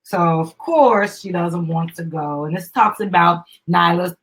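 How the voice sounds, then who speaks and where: Woman, slightly rough-edged voice, upbeat host reading a children's book aloud with casual asides and prompts for a little one. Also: lowish voice, unhurried. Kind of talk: live dramatic storybook reading with chatty asides.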